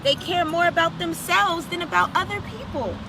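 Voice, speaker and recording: High-pitched woman hosting children's story time. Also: sing-song, normal speed, background noise. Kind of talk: children's read-aloud with direct questions to young viewers. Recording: music under the voice. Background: music.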